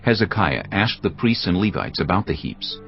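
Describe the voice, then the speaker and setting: A man giving a solemn, measured, scripted narration of scripture as part of a dramatised audio production. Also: sits low, very animated, creaky, dull audio. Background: music.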